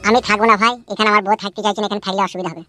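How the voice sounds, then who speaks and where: Man acting an aggrieved, hurried village tenant. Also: sits high, level tone, sharp tone, soft background music. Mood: uneasy protest. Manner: complaining, indignant. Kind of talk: cartoon comedy dialogue.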